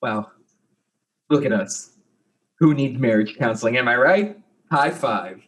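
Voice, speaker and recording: A man's voice: mid-range voice, animated, measured pace, close to an everyday voice. A man acting an insecure, jealous and goofy fiancé in a darkly comic mystery play, earnest and flustered. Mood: sheepish.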